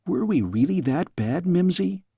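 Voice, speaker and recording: A man's voice: high-pitched, lively intonation, unhurried, creaky, dark tone, breathy edge, no echo, clean studio sound, voice pushed up, exaggerated voice. A man voicing a headstrong, inquisitive young princess in a storybook, pert and eager. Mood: tearful. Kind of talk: storybook character voice.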